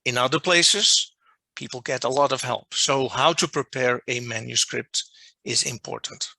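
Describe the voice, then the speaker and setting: A man with a Dutch accent, a non-native English speaker, giving an online lecture as a journal editor and restating his points deliberately over a video call. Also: mid-range voice, vocal fry, bright, forceful tone, no room sound, low-quality recording. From Netherlands. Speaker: journal editor presenting a workshop. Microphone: video call microphone.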